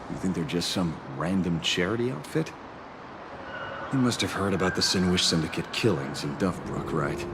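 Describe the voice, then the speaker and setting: A man's voice: low-pitched, background noise. A man playing a cynical, cagey investigator in a video game, with a sardonic, teasing drawl. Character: sly, cynical male investigator, taunting and cagey.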